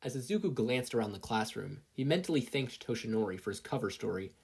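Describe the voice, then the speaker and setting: A reader giving an animated scripted narration of a fan fiction story. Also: mid-range voice, quick pace, vocal fry, slightly rough.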